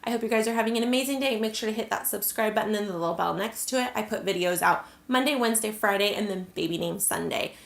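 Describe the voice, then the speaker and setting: A woman's voice: mid-range voice, quick pace, gravelly, few pauses, roomy sound. A young adult American woman vlogger chatting casually to camera, answering questions with lots of "like" and "um". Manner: chatty, many "like" and "um" fillers.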